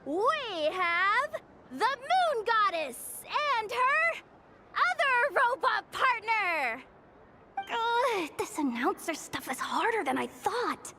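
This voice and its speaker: Cocky young game girl, very high voice, lively swoops, theatrical bravado, music behind. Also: full character voice. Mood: embarrassed. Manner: theatrical, mock-announcer bravado. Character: excitable, cocky young girl dressed as moon goddess. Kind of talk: animated video game voice acting.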